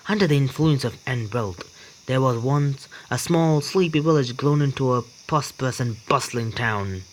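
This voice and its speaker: Halting male gamer, faintly noisy mic. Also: mid-range voice. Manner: halting, stumbles when reading aloud. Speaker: gaming video host. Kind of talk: casual game playthrough commentary, reading story text aloud.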